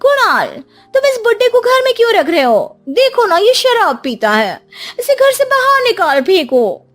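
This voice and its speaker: A woman voicing a spiteful, scheming young daughter-in-law in a cartoon story, with a shrill, scornful manner. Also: big pitch swings, moderate pace, faint music behind. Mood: scornful, complaining.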